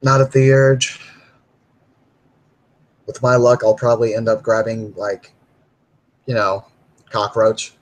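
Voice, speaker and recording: A man's voice: medium pitch, level tone, slow, long pauses, natural voice. A man playing a resigned, self-deprecating urban werewolf in a tabletop roleplay, wry and noncommittal. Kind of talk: in-character tabletop roleplay dialogue.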